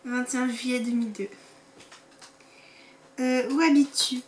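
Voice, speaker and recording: Teen girl, from France, giggly, high voice, distant from mic. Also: fairly even intonation, moderate pace. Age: teens. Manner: giggly, asks questions plainly. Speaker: co-host asking questions. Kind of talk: casual Q&A. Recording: off-mic with faint noise.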